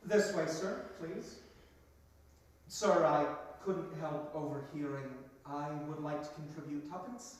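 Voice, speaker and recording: An actor playing a kindly, put-upon, deferential clerk in a radio play, timid, polite and eager to please. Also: male voice, on the high side, steady tone, takes it slowly. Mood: flustered, obliging. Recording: very echoey, roomy hall reverb.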